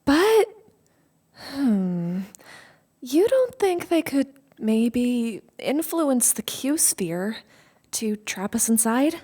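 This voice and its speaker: A woman's voice: a little high, big pitch swings, very slow, mellow, breathy edge, heavily acted. A woman playing an uneasy, questioning crew member in a sci-fi audio drama, hesitant and musing but insistent. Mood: worried, speculating.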